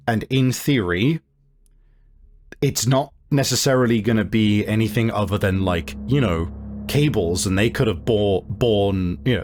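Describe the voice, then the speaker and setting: Low-voiced man running a tabletop game. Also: noisy background. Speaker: game master. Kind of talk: improvised narration of a tabletop game.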